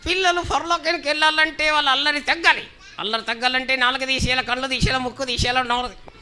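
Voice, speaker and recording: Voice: high voice, flat delivery, fast, bright, forceful tone, clear, resonant tone, pitched above the performer's own voice. A performer voicing a cheeky, childlike puppet doctor who boasts and makes mischief in a children's comedy show. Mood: mischievous, bossy. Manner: boastful, repeats own name, mischievous questioning.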